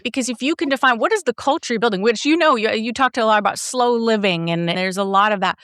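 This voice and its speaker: A woman's voice: a little high, a bit bright, dry recording. Dry, talkative woman coach. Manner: talkative, encouraging, explains with examples. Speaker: business coach and host. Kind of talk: coaching conversation.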